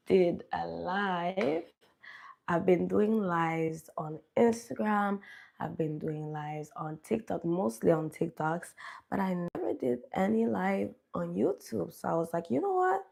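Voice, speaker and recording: A woman's voice: fairly low pitch, creaky, darkish tone. A young woman from Canada chatting casually on a livestream at home, greeting viewers by name. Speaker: livestream host. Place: home in a cold region.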